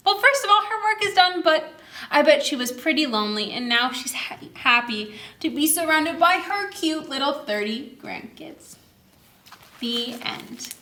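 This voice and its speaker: High, bright-voiced woman hosting story time. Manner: addresses children directly with questions and prompts. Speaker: children's librarian and story time host. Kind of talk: animated read-aloud and chat to young children.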